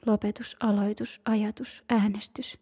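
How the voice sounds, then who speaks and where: A woman whispering a slow, rhythmic chant of single words in a breathy, hushed voice. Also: mid-range voice, level tone. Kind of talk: whispered incantation-like word chanting.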